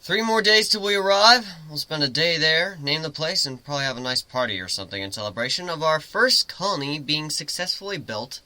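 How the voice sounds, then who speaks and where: A man giving an expressive, theatrical dramatic reading of a first-person story. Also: on the high side, brisk, few pauses, no echo, low-quality recording. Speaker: amateur story reader, narrator.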